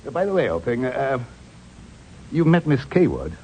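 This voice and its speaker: An actor playing a pompous, flustered scheming captain in a 1940s radio drama, stammering and effusive with his gentlemanly phrases. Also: medium pitch, big pitch swings, moderate pace, poor audio. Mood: scheming, courteous. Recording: old radio recording, clear background noise.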